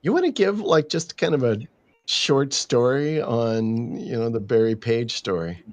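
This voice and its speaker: A man hosting a live stream, upbeat and halting, with many fillers. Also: mid-range voice, no echo. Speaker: host. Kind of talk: casual live-stream chat.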